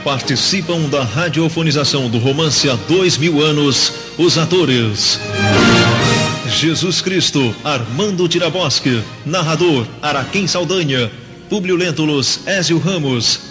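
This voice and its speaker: Over prominent music, a Brazilian man announces radio credits formally. His voice is fairly high and his reading emphatic and measured. The recording is a little dull.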